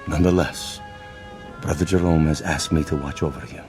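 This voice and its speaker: A stern male monk keeping watch as jailer speaks in an extremely deep voice. He is solemn, formal and falsely soothing, a radio drama figure with music clearly behind him. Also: fairly even intonation, a little fast, a little mellow. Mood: ominous calm. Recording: music bed under the voice.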